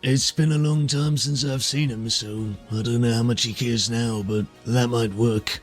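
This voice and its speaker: A weary, grimly insistent soldier in heavy armor acted out by a man at a game table. He is blunt and guarded, with a mid-pitched voice over a music bed. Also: fairly even intonation, moderate pace, lightly acted. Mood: guarded, hesitant. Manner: terse, blunt.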